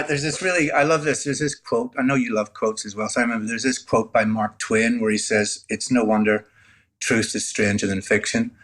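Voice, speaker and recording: A man in his sixties from Northern Ireland, a guest telling his life story in a relaxed, conversational way, full of "you know". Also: medium pitch. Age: 60s. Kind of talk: candid storytelling.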